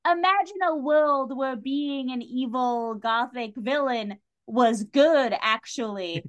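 A woman's voice: on the high side, fairly bright tone. A woman guest on a podcast, explaining a plot in a casual, conversational way and acting out bits of imagined dialogue. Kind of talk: casual spoken plot synopsis.

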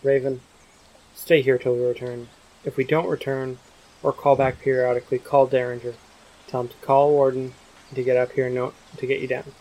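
A man plays a gruff field operative in an audio drama. His voice is creaky and stays flat, with a blunt, impatient, commanding manner. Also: mid-range voice, moderate pace, natural voice, poor audio.

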